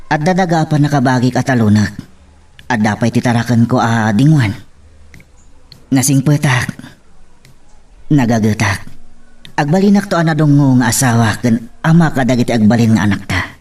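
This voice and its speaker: An earnest young village suitor voiced by a male actor speaks in a romantic audio drama. His mid-range voice is sincere and deliberate, with a pleading devotion, heard at some distance from the mic. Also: mid-range voice, darkish tone, natural voice.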